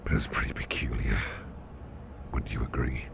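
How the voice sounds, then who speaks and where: An extremely deep, gruff male boss, acted by a man for intimate ASMR roleplay, heavy with gravel and half-whispered. He rambles slowly and hesitantly, like a protective, caring confidant. There is clear background noise behind him. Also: fairly even intonation, full character voice. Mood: puzzled, musing. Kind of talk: ASMR roleplay, soft intimate storytelling to the listener. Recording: clearly noisy background hiss or ambience.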